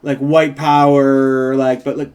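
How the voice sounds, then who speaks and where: A man's voice: mid-range voice, flat delivery, takes it slowly, a little mellow. A man co-hosting a casual, unscripted talk about a script, rambling and hedging as he explains. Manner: rambling, hedging with frequent "like" and "kind of". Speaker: co-host and writer introducing a script read.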